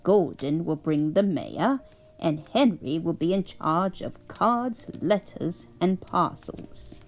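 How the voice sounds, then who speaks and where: A stern, portly man who runs the railway, as a woman acts him out gives orders in a gruff, formal manner. He speaks slowly and deliberately, in a low, storybook register. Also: animated, heavily acted. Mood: commanding.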